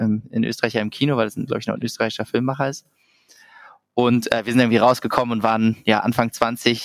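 A man's voice: medium pitch, gravelly, dry recording. A man in his thirties from Germany, talking casually as a podcast guest, with many fillers and restarts. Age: 30s. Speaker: podcast guest, company founder. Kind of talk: casual interview talk.

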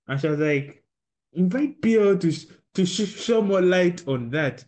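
A man hosting a podcast interview, affirming his guest often and pausing with fillers. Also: high voice, expressive, darkish tone. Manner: affirming, says "nice" often, hesitant fillers. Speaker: podcast host. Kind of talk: conversational interviewing.

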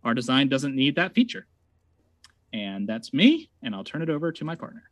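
A man's voice: medium pitch, big pitch swings, normal speed, no echo. A man making a prepared debate argument over a video call, emphatic and wry.

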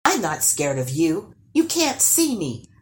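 A woman voicing a bold, cocky young boy hero who yells defiantly in a storybook tale. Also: on the low side, wildly swooping pitch, measured pace. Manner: defiant yelling.

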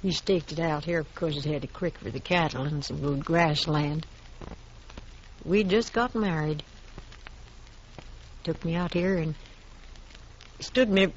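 An actress playing a feisty, stubborn frontier widow in a 1950s radio western, with a folksy, plainspoken American manner of speech. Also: female voice, deep voice, fairly bright tone. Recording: dull, noisy old radio recording.

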